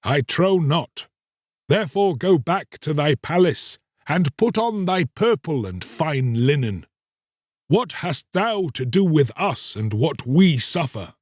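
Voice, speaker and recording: A man's voice: a little high, very animated, takes it slowly, no echo, studio quality, voice pushed up, heavily acted. A man voicing a bitter, reproachful poor man in a storybook tale, challenging his listener in archaic, rhetorical speech.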